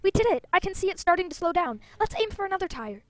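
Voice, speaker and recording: A woman's voice: very high-pitched, sing-song, quick pace, no echo, pitched above the performer's own voice. A woman reading out the lines of a bold, hot-tempered young female rider in a fantasy game. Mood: eager, determined.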